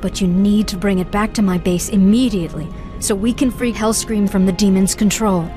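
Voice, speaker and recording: An actress playing a proud, wary young human sorceress in a video game, speaking in a crisp, self-assured manner. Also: female voice, mid-range voice, fairly even intonation, moderate pace, music in the background, close to an everyday voice. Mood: businesslike.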